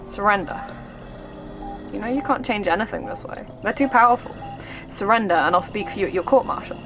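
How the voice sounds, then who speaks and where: A woman's voice: medium pitch, lively intonation, a little fast, music in the background, natural voice. A woman playing an earnest, uneasy armed subordinate in a sci-fi horror audio drama, direct and emphatic. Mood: urging.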